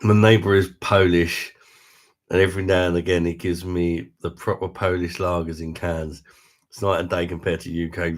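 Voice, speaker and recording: A middle-aged man talks in a very deep, low register. He hosts a relaxed livestream, chatting with viewers in a casual, good-humoured way, laughing often and swearing freely. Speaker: beer review livestream host. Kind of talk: casual live chat reading viewer comments.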